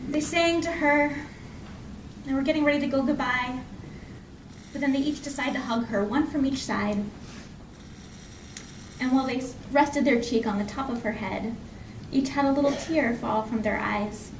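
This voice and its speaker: A woman telling a folk tale live in an expressive, conversational way, lingering on repeated phrases. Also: sits high. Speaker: storyteller. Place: indoor library program before an audience. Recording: echoey room from far off, faint noise.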